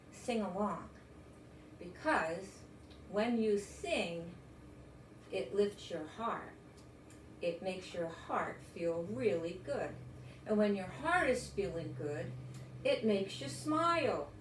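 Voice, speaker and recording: An older woman reading a children's story aloud in a gentle, expressive, encouraging way. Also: mid-range voice. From United States. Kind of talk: read-aloud children's story with chatty asides. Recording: roomy echo, distant mic, faint noise.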